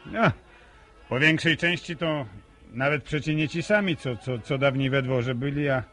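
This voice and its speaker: Stefan, bright-voiced, halting village man acted by a man. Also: mid-range voice, natural voice. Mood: grumbling. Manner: halting, folksy, repeats words. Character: Stefan, chatty, hesitant village man at a dance. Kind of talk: Polish radio serial acting.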